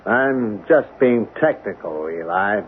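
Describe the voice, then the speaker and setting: Placating, officious military colonel. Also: male voice, fairly low pitch, lively intonation, slow pace. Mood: coolly firm. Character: smooth-talking, evasive military colonel, calmly stubborn. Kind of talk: 1970s radio drama acting. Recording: narrow-band, hissy 1970s radio recording.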